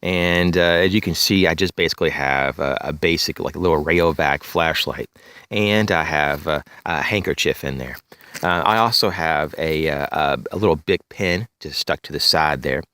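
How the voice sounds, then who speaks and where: A man with a very low voice, a little raspy and congested, talks through a gear review in a loose, rambling way full of "uh" and "um". The sound is dry and close, recorded outdoors among fallen leaves. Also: moderate pace, sharp tone, no echo. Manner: rambling, many fillers, frequent throat clearing. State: congested, slightly raspy from allergies. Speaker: gear reviewer, channel host. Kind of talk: casual show-and-tell gear review. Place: outdoors in leafy woods, bag on a chair.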